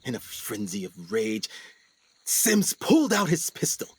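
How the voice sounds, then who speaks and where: A man acting as a haunted explorer doctor in a radio play, speaking intensely and theatrically with suspenseful pauses. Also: on the low side, huge pitch swings, slight background noise. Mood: grim, anguished. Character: haunted 1920s male explorer doctor recounting jungle horrors.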